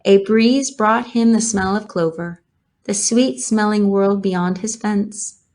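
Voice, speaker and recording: A woman narrating a children's novel aloud in a measured, expressive storytelling manner. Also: mid-range voice. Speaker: storybook reader.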